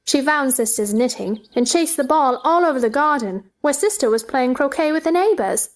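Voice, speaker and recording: A curious little girl as a woman acts her out chatters away in a high voice. She is eager, imaginative and childlike, made for a storybook play. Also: expressive, moderate pace. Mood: wondering, eager.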